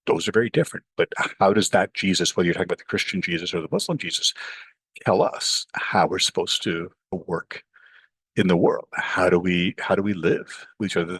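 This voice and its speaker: A man's voice: low-pitched, a bit bright, breathy edge, no room sound. A middle-aged man from Pakistan, an author and professor, talking animatedly in an interview, often saying "you know" and acting out the voices of others. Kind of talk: interview conversation.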